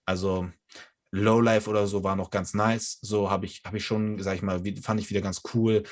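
A man's voice: on the low side, level tone, normal speed. A young man in casual chat, laid-back and slangy, rambling with many fillers. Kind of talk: casual chat about rap albums.

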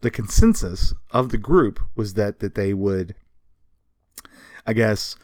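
A man's voice: lowish voice, lively intonation, slow pace, a little mellow, no room sound, studio quality. A man co-hosting a podcast, casually telling history in an emphatic, conversational, explanatory way. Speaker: podcast co-host. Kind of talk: casual storytelling of comics history.

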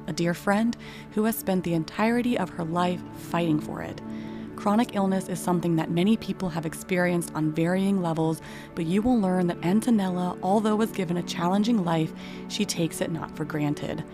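A woman hosting a podcast interview, speaking in a calm, earnest and reflective way. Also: lowish voice. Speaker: podcast host.

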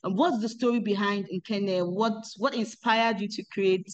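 A woman's voice: mid-range voice, fairly even intonation, a little slow, muffled recording. A woman hosting an interview podcast, asking questions hesitantly with many "um"s and repeated words. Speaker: podcast host.

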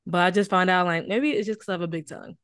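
A young woman with a Southern drawl hosts a casual podcast, teasing her co-host and hyping the show. Her voice is smooth and clear, and it sounds close and dry, with no room around it. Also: on the low side, fairly even intonation, rapid-fire, fairly bright tone, dry recording. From Houston, Texas.

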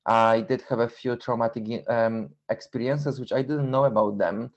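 A middle-aged man from Poland with a Polish accent, speaking reflectively and haltingly in an interview, repeating words as he goes. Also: medium pitch, steady tone, dull audio. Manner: halting, repeats words, non-native phrasing. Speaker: interview guest, endurance runner and coach.

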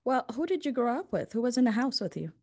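A woman's voice: fairly high pitch, sing-song, fast, darkish tone, no echo, clean studio sound. A woman hosting a podcast interview, upbeat and encouraging with her guest. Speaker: podcast host.

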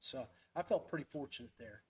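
A man's voice: mid-range voice, animated, a little fast, a little hiss or hum. A man hosting a podcast, chatting casually and telling stories in a folksy, rambling way. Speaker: podcast host. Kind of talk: casual fishing podcast chat.

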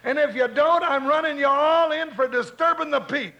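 Comic cowboy deputy, extremely high voice, folksy Western drawl, blustery and flustered, vintage radio drama. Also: male voice, normal speed, creaky, pushed and bright, lo-fi sound, exaggerated voice. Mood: blustering. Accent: American Western drawl. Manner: blustery, stammering when flustered. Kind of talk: old-time radio Western acting.

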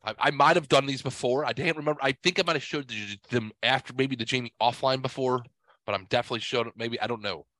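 A sick man with a blocked nose hosts a casual podcast, cursing freely and wandering from point to point. His voice is bright and pushed forward. The recording is dry and close, with no room sound. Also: mid-range voice, fast, no echo. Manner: crude, profane, rambling. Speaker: podcast host.

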